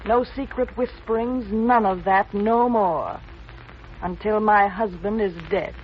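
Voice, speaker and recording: An actress playing a bitter, commanding, scheming colonial wife in a 1950s radio drama. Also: female voice, mid-range voice, slow, slight background noise, lightly acted. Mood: scornful, commanding. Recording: muffled, dated 1950s radio recording.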